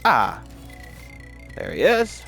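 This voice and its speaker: A man's voice: high-pitched, wildly swooping pitch, extremely slow, a bit bright, soft background music. A man hosting a casual, opinionated film ranking video, rambling as he goes and often repeating words for emphasis. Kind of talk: casual opinionated movie commentary.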